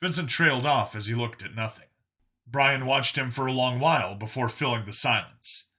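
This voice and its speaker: Bright, forceful male story narrator. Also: mid-range voice, moderate pace. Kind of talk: scripted audiobook narration.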